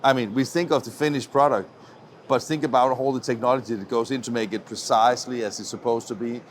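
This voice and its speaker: A man's voice: medium pitch, fairly even intonation, fast talker. A man interviewing on camera at a trade show, with hesitant, conversational phrasing. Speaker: interviewer for a web TV channel. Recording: faint background noise.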